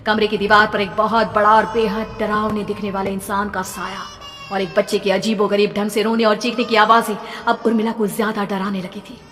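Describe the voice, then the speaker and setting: A woman's voice: medium pitch, little pitch movement, moderate pace, fairly bright tone. A woman telling a horror story as dramatic scripted narration. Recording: long reverb, background noise.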